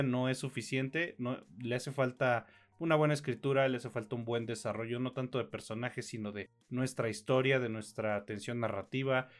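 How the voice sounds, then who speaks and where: A man with a Mexican accent co-hosting a film podcast, reviewing casually in a slangy, grumbling way. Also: mid-range voice.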